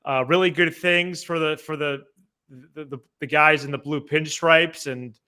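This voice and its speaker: A man co-hosting a sports podcast in casual commentary, halting and repeating words as he thinks. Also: a little high, fairly even intonation, pushed and bright, clean tone.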